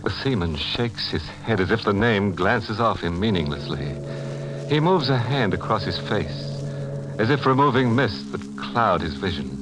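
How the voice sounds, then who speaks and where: An actor playing a macabre horror show host, narrating with wry, theatrical deliberation in 1940s radio style. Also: male voice, fairly low pitch, lively intonation, moderate pace, close to an everyday voice, poor audio. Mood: suspenseful. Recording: old radio recording with music.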